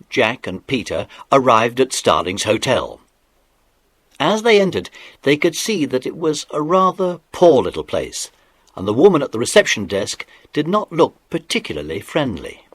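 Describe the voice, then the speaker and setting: A man's voice: mid-range voice, huge pitch swings, moderate pace, a bit bright, husky, no echo, exaggerated voice. A man narrating a children's adventure story from a script, as a storybook narrator. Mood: storytelling.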